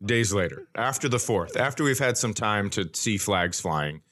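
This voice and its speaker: A middle-aged American man telling stories casually and comically, with an animated, blunt, ranting manner and some swearing. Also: lowish voice, normal speed. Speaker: podcast host, comedian. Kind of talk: casual comedic storytelling.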